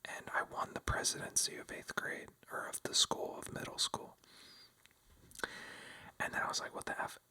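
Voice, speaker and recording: A young man telling a story in a close, soft ASMR whisper, rambling and self-mocking with lots of fillers. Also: normal speed, long pauses.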